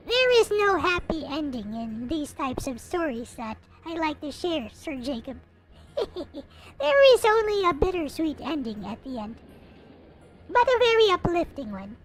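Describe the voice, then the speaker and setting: A woman's voice: sits high, poor audio. A woman reading a story aloud expressively, with playful, theatrical casual asides. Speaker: host reading a visual novel aloud. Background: background music.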